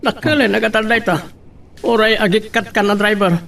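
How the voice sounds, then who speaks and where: Scheming rich boss played by a man, radio drama: pushy, gravelly, high-pitched, slightly distant recording. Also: fairly even intonation, brisk, a bit bright. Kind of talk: Ilocano radio love drama acting.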